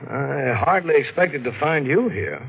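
A man's voice: a little high, sing-song, measured pace, vocal fry, breathy edge, heavily acted. A man playing a skeptical, weary police detective in a 1940s radio drama, firm and exasperated. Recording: dull vintage radio recording.

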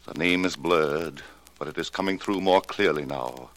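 An actor playing a suave, mercenary fake fortune-teller in a 1940s radio drama, speaking formally and with theatrical measure. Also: male voice, very deep voice, moderate pace, a bit bright. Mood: mysterious, solemn. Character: suave, mercenary male fake crystal-ball seer. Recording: noisy, clicking old radio transcription.

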